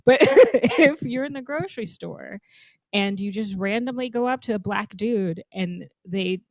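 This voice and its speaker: Animated young woman from zambia chatting on a podcast, thinks out loud, dry close mic. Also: mid-range voice, unhurried, no room sound. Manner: animated, thoughtful, laughs often. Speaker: podcast co-host. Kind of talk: casual conversation.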